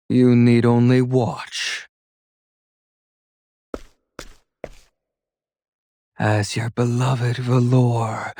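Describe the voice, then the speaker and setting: A man's voice: mid-range voice, dry recording. A man voicing a cruel, power-hungry lord in a video game, with a theatrical, mocking and sneering manner. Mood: smug menace. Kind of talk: dramatic video game voice acting.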